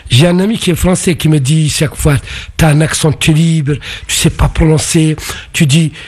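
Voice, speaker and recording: A man from Mauritania whose first language is Arabic, a writer speaking as a radio interview guest in a thoughtful, halting way with many restarts. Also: on the high side, steady tone, a little mellow, breathy edge.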